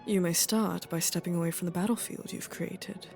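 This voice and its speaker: A woman's voice: fairly low pitch, fairly even intonation, moderate pace, gravelly, darkish tone, faint music behind. A woman playing a regal fae queen in an audio drama, dry, blunt and teasing in an imperious way. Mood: wry, teasing. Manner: dry, imperious, fondly mocking. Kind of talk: scripted audio roleplay acting.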